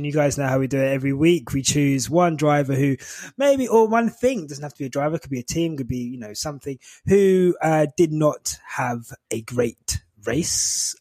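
A man hosting a casual sports podcast, upbeat and easy with his guests. His voice sits fairly high. The sound is dry and close. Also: a little mellow, no echo. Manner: upbeat, laughs often, encouraging. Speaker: podcast host.

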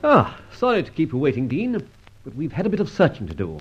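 An actor playing a suave, teasing gentleman adventurer in an old radio serial, pompous and flippant with mock courtesy. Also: fairly high pitch, huge pitch swings, fast talker, breathy edge, faint music behind, exaggerated voice. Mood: teasing, commanding. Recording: dull old radio recording.

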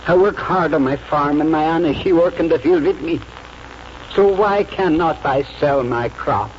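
An actor playing an earnest immigrant farmer with a foreign accent, speaking halting broken English in 1940s radio drama style. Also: male voice, fairly high pitch, natural voice. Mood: anxious pleading. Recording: muffled old radio broadcast, faint hiss.

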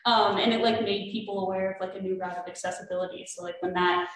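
A woman's voice: medium pitch, fairly even intonation, fast talker. A woman moderating a panel, speaking in a curious, conversational way. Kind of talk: panel discussion. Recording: echoey room.

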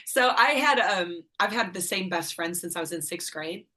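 A middle-aged woman from northern Illinois with a bright, forceful voice sitting a little low in pitch. She chats candidly as a podcast guest, laughing easily and swearing without a second thought. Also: lively intonation, normal speed. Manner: chatty, candid, laughs often, swears casually. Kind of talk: casual conversation.

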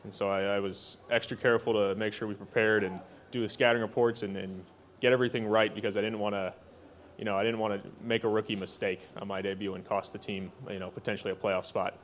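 A young man on a panel talking in a relaxed, thoughtful way about playing baseball. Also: fairly low pitch, fast.

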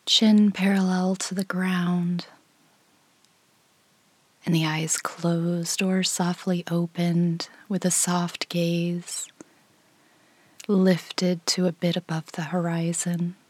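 A woman leading a guided meditation in a soft, breathy, near-whispered voice, gently and without hurry, leaving long pauses. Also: lowish voice, level tone, no room sound. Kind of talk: guided meditation, slow calm instruction.

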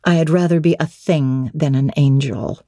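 Woman acting a spirited, mellow-voiced young bride-to-be. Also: low voice, fairly even intonation, normal speed, no room sound, studio quality. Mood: forthright. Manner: playful, challenging, forthright. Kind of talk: dramatic classic novel reading.

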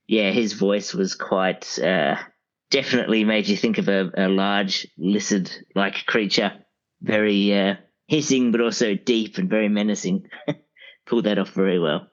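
A man speaking as a podcast guest reviewing audiobooks, picking his words in a halting, considered way. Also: lowish voice, a bit bright, muffled recording. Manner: halting, considered, deliberate phrasing. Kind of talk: conversational review.

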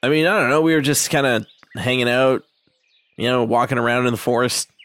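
A man's voice: medium pitch, fairly even intonation, fairly quick, fairly bright tone, no echo, voice pushed down, natural voice. A man voicing an easygoing teenage boy who talks hesitantly and casually.

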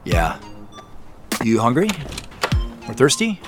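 An uneasy, polite man in a naturalistic audio drama. His mid-pitched voice moves up and down freely, though his delivery stays understated and a little hesitant. Faint music sits behind him. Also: huge pitch swings, slow pace. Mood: casual, friendly.

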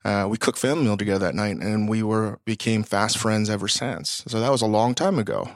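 A man's voice: fairly low pitch, brisk, dry recording, studio quality. A man in his forties hosting a podcast, ranting in a profane, worked-up way and then chatting casually with a guest. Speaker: podcast host and chef.

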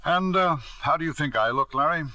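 Forceful, self-satisfied radio-drama tycoon. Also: male voice, fairly high pitch, expressive, brisk, dry recording. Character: self-satisfied, genial, domineering middle-aged male tycoon knight. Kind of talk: 1950s radio drama acting.

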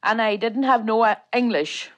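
A woman's voice: a little high, moderate pace. An older woman with a Donegal Irish accent, whose first language is Irish, telling stories of her childhood as an interviewee. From Tory Island, Ireland. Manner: plain storytelling, long chains of "and". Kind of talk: oral history reminiscence.

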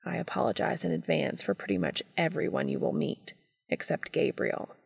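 A woman reading a story aloud and voicing a reserved young man who speaks quietly and dryly. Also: low voice, sing-song, moderate pace, gravelly, breathy edge, full character voice. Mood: dry, wry. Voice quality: dry and quiet.

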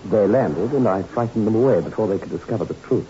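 Actor as a deep-voiced, earnest grieving father. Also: quick pace, warm tone, lightly acted. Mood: explaining, apologetic. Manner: earnest, confessional, formal. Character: grieving, pleading father guarding his deranged daughter on an island. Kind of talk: 1960s radio drama acting. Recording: muffled, noisy old 1960s radio recording.

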